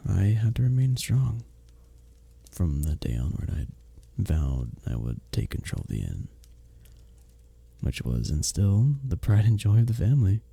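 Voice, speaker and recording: A man playing a gentle, earnest village innkeeper in a medieval ASMR roleplay, speaking softly and intimately in formal, old-world phrasing. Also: sits low, gravelly, breathy edge, heavily acted. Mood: solemn, earnest. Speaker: audio roleplay performer. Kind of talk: ASMR roleplay, intimate one-sided conversation.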